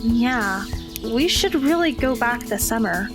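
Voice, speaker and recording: A woman playing a modest, easygoing high school girl, plain and understated, in an audio drama. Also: lively intonation, a little slow, over music. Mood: casual, calm.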